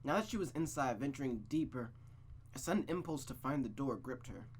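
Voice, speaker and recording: A man's voice: mid-range voice, expressive, fairly quick, slight background noise. A man reading a visual novel aloud in a suspenseful storytelling style, with playful, casual asides.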